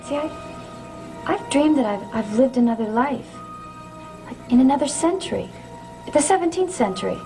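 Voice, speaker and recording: An actress playing a troubled, confused young woman in a gothic soap opera, speaking haltingly and repeating herself. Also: high-pitched, animated. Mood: frightened, confused. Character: troubled, confused young woman haunted by dreams and lost voices. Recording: vintage TV soundtrack under loud music.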